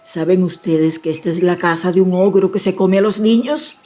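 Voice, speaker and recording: A woman voicing a kindly, sorrowful ogre's wife in a storybook, with a pitying, drawn-out delivery. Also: lowish voice, dark tone, soft background music, close to an everyday voice. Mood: sorrowful warning. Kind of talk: dramatized storybook acting.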